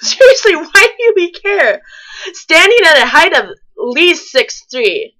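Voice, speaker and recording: A woman's voice: very high voice, big pitch swings, a little slow, bright, forceful tone, low-quality recording. A woman co-hosting a comedic fan fiction reading, reading aloud and breaking off for casual, mock-exasperated commentary.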